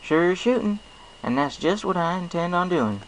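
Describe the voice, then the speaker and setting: A man acts out a young country mare from a storybook, confident and reassuring, with a folksy drawl. The voice sits lifted in pitch, with some gravel in it and a faint noise behind. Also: animated, moderate pace, low-quality recording. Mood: cheerful, playful. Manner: folksy drawl with dropped g's. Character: friendly, honest young female country pony, confident and reassuring.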